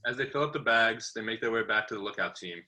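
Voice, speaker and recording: A man's voice: lowish voice, fast, bright, forceful tone, rough recording. A man reading scripted narration as the narrator of an amateur table read.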